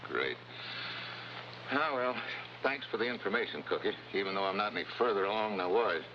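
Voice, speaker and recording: An actor playing a tough, wry American special agent with clipped, wisecracking delivery in a 1950s-style television crime drama. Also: male voice, fairly low pitch, expressive, a little fast, natural voice. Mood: wry, pressing. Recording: dull, noisy old TV soundtrack.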